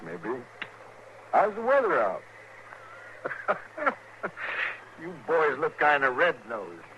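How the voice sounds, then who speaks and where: A sly, taunting frontier outlaw, sharp-voiced. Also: male voice, a little high, huge pitch swings, slow, dull audio, full character voice. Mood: sly, needling. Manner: drawling, needling, folksy talk. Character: captured Western outlaw, sly, taunting, folksy male prisoner. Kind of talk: 1950s radio Western acting. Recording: noisy, band-limited old radio recording.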